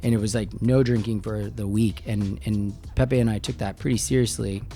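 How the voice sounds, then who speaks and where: A man talking casually as a podcast guest, informal and halting as he works through his thoughts. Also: lowish voice, steady tone, over music. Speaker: podcast guest, business owner. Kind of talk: casual conversational interview.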